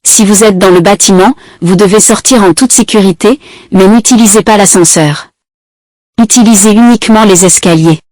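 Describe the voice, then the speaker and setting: A woman's voice: mid-range voice, a little fast, darkish tone, no room sound. Female emergency announcer, medium register, scripted civil warning, no room sound at all.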